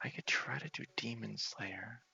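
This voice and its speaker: Breathy, half-whispering man with creaky voice. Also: fairly even intonation, moderate pace, highs cut off, soft background music. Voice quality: soft, breathy, often whispery. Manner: low-key, thinking aloud. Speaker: gaming video host. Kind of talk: ASMR game playthrough, soft casual commentary.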